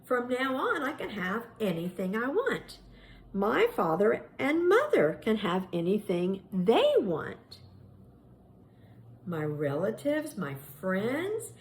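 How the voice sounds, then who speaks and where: A woman's voice: mid-range voice, exaggerated voice. A woman voicing an eager, earnest young male donkey full of wishes in a children's storybook. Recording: echoey room with faint background noise.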